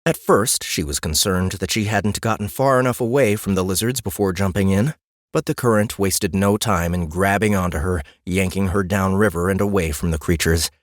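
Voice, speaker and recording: A man narrates a fantasy audiobook in a low voice. The reading is scripted storytelling, captured close and very dry on a studio mic. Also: moderate pace, no echo.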